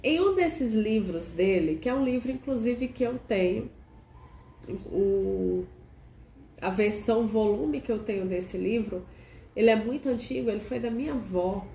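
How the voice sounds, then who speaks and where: A woman hosting a casual video chat. She sounds nasal and hoarse from a cold and keeps asking the viewer questions. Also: fairly high pitch, expressive, a little slow, warm tone. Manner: chatty, asks the viewer many questions. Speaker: archetype consultant and channel host. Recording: echoey room with faint noise.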